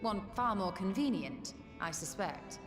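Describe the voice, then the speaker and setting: A woman's voice: mid-range voice, animated, a little slow, lightly acted. A woman playing a shrewd, composed sage in a video game, with arch, formal, old-fashioned phrasing. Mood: knowing, composed. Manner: archaic, crisp, knowing phrasing. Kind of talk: scripted video game voice acting. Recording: echoing game audio with loud music.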